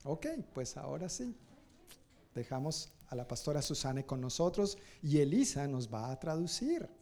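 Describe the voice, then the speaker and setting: Casting: man, pastor addressing a congregation, higher-than-average mellow voice, loose humorous delivery with self-corrections. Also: lively intonation, unhurried. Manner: informal, jokey, self-correcting. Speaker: pastor leading announcements and introducing the speaker. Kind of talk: church announcements to a congregation.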